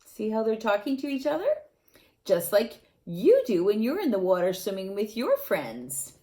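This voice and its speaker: A woman reading a storybook aloud to children, animated and asking them questions, sometimes dropping to a whisper. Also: medium pitch, moderate pace.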